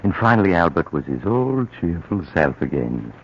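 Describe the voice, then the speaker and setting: A man narrating a radio drama in a measured, grim storytelling manner. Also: deep voice, big pitch swings, a little hiss or hum. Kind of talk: scripted narration. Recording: dull old radio recording.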